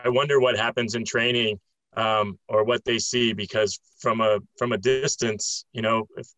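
A man's voice: fairly low pitch, sharp tone, no room sound, low-quality recording. A man hosting an interview, who also does some commentating, talking in long, rambling questions full of fillers. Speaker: podcast host and occasional commentator.

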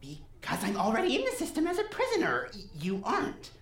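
A woman's voice: fairly high pitch, wildly swooping pitch, fairly quick. A woman acting in an audio drama as an intense, persuasive captive child, speaking earnestly and urgently. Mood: eager. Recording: roomy, echoing student audio drama.

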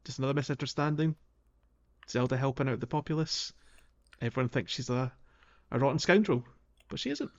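A man's voice: medium pitch, fairly quick, highs cut off. A man co-hosting a podcast, talking casually about a video game playthrough in a chatty, hesitant way. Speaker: podcast co-host.

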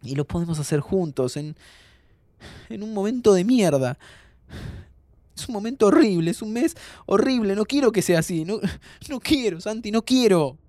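An actor playing a dying young man from Buenos Aires, playful and hopeful, talking informally and haltingly to his friend in an audio drama. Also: high-pitched, expressive, darkish tone, dry recording. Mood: pleading. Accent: Argentine, Buenos Aires. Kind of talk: naturalistic audio fiction acting.

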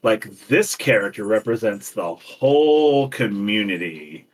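Man in his forties, podcast co-host, husky voice, sits back from the mic, animated and theatrical. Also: lowish voice, unhurried, far from the mic. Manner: animated, theatrical, laughs often. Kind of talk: casual chat.

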